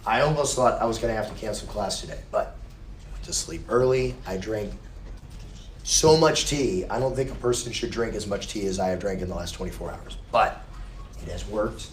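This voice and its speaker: A hoarse man, still getting his voice back, gives a casual, reassuring college class lecture. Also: fairly low pitch, normal speed, echoey room, slight background noise. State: hoarse, recovering lost voice. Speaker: college instructor.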